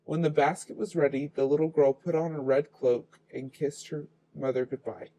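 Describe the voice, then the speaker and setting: A man's voice: a little high, steady tone, moderate pace, mellow, poor audio. A man reading a children's fairy tale aloud as the storyteller.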